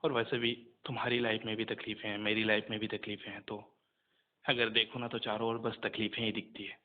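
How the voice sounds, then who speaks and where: A young man talking casually on a recorded phone call, subdued and earnest, with hesitant pauses. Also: lowish voice, level tone.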